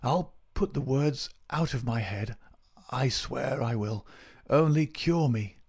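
A man voicing a gravely ill, semi-delirious character in a storybook, pleading weakly in a halting, gasping whisper. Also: mid-range voice, darkish tone, voice pushed up, exaggerated voice. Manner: slow, feeble, halting pleading.

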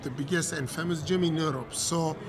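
A man's voice: on the high side, steady tone, fairly quick, crowd noise. A middle-aged man from Cameroon with a French accent, a coach talking in a casual interview, often repeating words as he searches for English. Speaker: MMA coach. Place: hotel room, indoors. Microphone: desk mic on stand.

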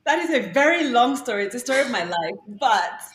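A young woman from Kenya telling the story of her work as a guest in an interview, reflective and animated when she quotes herself, heard over a video call. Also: on the high side, fairly quick. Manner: reflective storytelling, quoting herself in lively voices. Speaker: guest artist. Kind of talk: conversational interview. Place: bright indoor room, video call. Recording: roomy video-call audio, faint room noise.

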